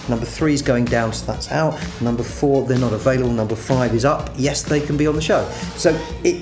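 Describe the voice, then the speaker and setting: A man in a documentary interview, enthusiastically explaining how things worked. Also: mid-range voice.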